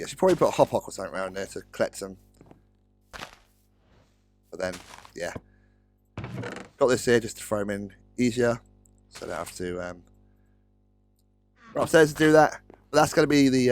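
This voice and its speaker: A British man with a slightly croaky voice, rambling casually through gameplay commentary and correcting himself as he goes. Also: on the low side. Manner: rambling, self-correcting, chatty. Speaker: Let's Play host. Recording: dry close-mic recording with faint music.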